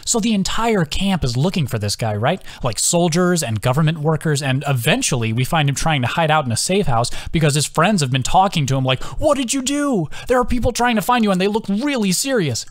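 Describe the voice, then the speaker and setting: Audiobook soldier guy, acted by a male narrator, gleeful, excited, pitch jumping up, dry close sound. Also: brisk, few pauses, dry recording, natural voice. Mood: amused, animated. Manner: laughing, excited, informal, swearing storyteller. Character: gleeful teasing soldier man telling a raucous story.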